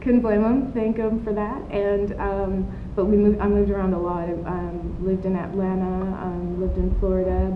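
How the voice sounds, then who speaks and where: A woman's voice: level tone, normal speed, hardly any pauses. Chatty woman in her thirties, roomy sound. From United States. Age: 30s. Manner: chatty and reflective, with many fillers and restarts. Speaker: artist being interviewed. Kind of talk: casual interview conversation. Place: outdoor patio of an art studio. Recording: roomy, dull outdoor recording with rumble.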